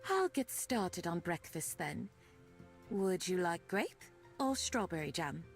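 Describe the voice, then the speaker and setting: A woman's voice: fairly low pitch, sing-song, slow, heavily acted. A woman voicing a genteel, kindly vampire hostess in a visual novel, with formal, gracious diction. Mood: hospitable. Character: genteel, kindly female vampire who keeps bats, hospitable. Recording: music faintly behind the voice.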